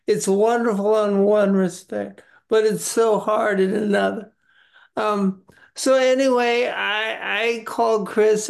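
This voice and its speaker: A middle-aged man speaking slowly and haltingly over a video call from a home office, with many fillers. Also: high-pitched, gravelly. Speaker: webinar participant. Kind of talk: candid personal sharing. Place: his late father's home office.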